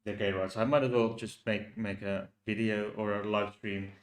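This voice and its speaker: A man's voice: on the low side, flat delivery. Man, Finnish accent, casual stream host, hesitant, echoey room. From Finland. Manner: hesitant, halting with many restarts. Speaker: channel host. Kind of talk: casual live stream talk. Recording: roomy echo.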